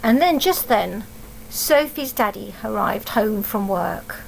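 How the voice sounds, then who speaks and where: A woman's voice: medium pitch, animated, slow, gravelly, a little hiss or hum, poor audio. A woman with an English accent reading a storybook aloud as a teacher, expressively, with explaining asides and questions for young children.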